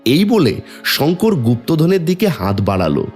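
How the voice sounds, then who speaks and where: A man's voice: fairly high pitch, very animated, moderate pace, a little mellow, faint music behind. A man narrating a scripted cartoon folk tale as the storyteller.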